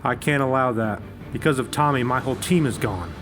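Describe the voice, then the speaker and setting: A man's voice: medium pitch, brisk, music in the background, close to an everyday voice. A man playing a bitter retired ranger officer who speaks in an accusing way in a fan audio drama.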